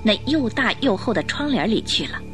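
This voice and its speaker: A woman's voice: a little high, lively intonation, fairly quick. A woman reading dramatic scripted narration for a radio play. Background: music.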